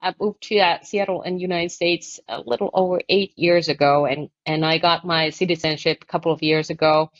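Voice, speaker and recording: A female interview guest with a Finnish accent and a fairly low voice. She answers in a conversational style. The sound is very dry and a little dull. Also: steady tone, fairly quick, few pauses, no room sound. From Finland.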